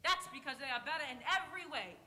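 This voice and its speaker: A woman playing a chirpy old-time radio announcer pitching a product to children, persuasive and sing-song. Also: animated, fast, sharp tone, full character voice. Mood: cheery, persuasive. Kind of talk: vintage radio advertisement. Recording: reverberant hall.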